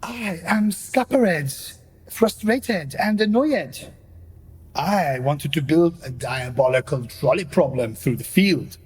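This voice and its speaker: Man playing slow, husky scheming villain. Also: on the high side, animated, heavily acted. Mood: bitter, vengeful. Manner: grandiose, embittered. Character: bitter scheming villain, vengeful and frustrated. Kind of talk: theatrical radio play monologue.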